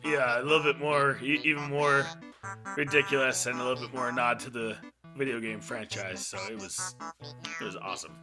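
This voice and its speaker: A man's voice: mid-range voice, steady tone, normal speed. A man co-hosting a casual movie review, speaking with enthusiasm in a chatty way. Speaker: podcast co-host reviewing a movie. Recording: music behind the voice.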